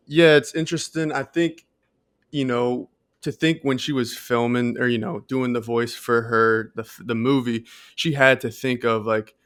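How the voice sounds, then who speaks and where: Male podcast co-host, clear resonant voice, fairly flat pitch, casual and halting delivery. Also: no room sound. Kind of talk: casual chat.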